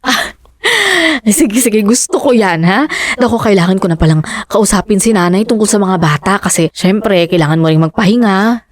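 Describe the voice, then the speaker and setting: A woman's voice: medium pitch, normal speed, dry recording, natural voice. A Filipino woman playing an eager, practical fisherman's wife in a radio drama, talking in a conversational, persuasive way. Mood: pleased, teasing.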